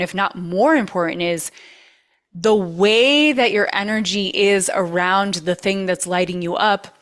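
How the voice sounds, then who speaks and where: A woman with a trained, steady voice leads a masterclass in an easygoing coaching chat. She talks earnestly and drives her points home by repeating and stressing key words. Her pitch sits right in the middle. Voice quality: vocally trained. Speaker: masterclass host. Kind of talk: casual coaching talk.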